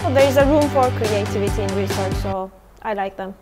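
A woman's voice: mid-range voice, a little fast. A young woman from Turkey, a PhD student showing viewers around a research lab in a friendly, explanatory way. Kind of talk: guided tour talk. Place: indoor research lab rooms. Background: background music.

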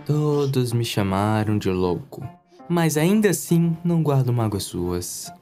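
A man's voice: medium pitch, big pitch swings, measured pace, warm tone. Man playing a jittery, swooping young anime hero. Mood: smug, spiteful. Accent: Brazilian. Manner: theatrical, exaggerated. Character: jittery, vengeful young man, sassy and paranoid. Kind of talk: comic anime parody dub. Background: music.

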